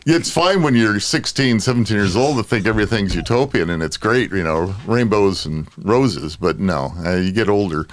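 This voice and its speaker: A man's voice: lowish voice, normal speed, few pauses. A middle-aged or older man from Southern California with an American accent, co-hosting a podcast in casual, opinionated chat. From Southern California, USA. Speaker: podcast co-host.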